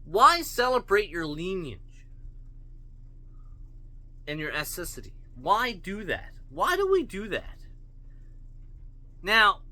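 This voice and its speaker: A man's voice: fairly high pitch, sharp tone. A man ranting bluntly to his viewers, profane and full of rhetorical questions. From Canada. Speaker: vlogger. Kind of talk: opinion rant to camera.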